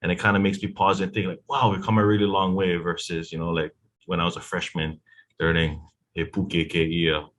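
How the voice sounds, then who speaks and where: A man's voice: deep voice, a little fast. A man, a language teacher speaking reflectively and casually as a roundtable guest. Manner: reflective, relaxed, many fillers.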